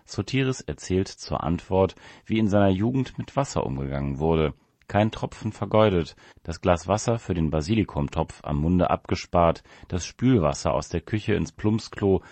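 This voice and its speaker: A man's voice: deep voice, darkish tone, no echo. A man reading a written essay aloud as scripted narration.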